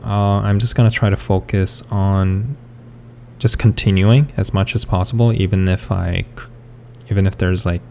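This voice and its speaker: Low slow gravelly man, hesitant. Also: warm tone, a little hiss or hum. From South Korea. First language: Korean. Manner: hesitant, full of fillers and restarts. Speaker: artist. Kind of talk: rambling speed-paint commentary.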